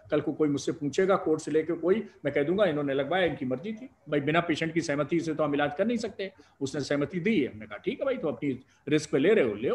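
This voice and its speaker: A middle-aged male doctor from India speaks as a guest in a live discussion, laying out medical advice. His voice sits fairly high for a man, and he argues his points with emphatic, rhetorical exclamations. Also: darkish tone. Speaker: doctor and professor of respiratory medicine.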